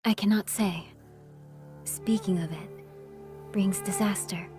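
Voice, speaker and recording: A woman's voice: medium pitch, steady tone, takes it slowly, dark tone, faint music behind, lightly acted. A woman playing a secretive, solemn sect grandmaster in a video game, terse and guarded. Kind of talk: dramatic video game acting.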